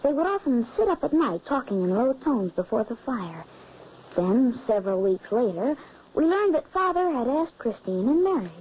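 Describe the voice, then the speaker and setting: Eerie childhood-tale narrator, high voice, noisy vintage radio recording. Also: female voice, very animated, moderate pace, muffled recording. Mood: uneasy, recalling. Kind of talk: 1940s radio drama narration.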